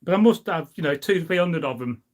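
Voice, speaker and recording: A middle-aged man hosts a casual live stream. His voice sits a little high for a man. He talks in an informal, wandering, anecdotal way, as if chatting with his viewers. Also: lively intonation, a little fast. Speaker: live stream host, history enthusiast. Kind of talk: casual rambling chat, reading out and answering viewer comments.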